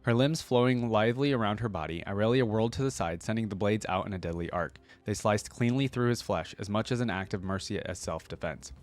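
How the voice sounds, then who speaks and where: A man's voice: lowish voice, brisk, few pauses, faint music behind. A man hosting a reaction video, reading lore aloud and commenting in an informal, exclamatory way. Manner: informal, filler-heavy, exclamatory asides.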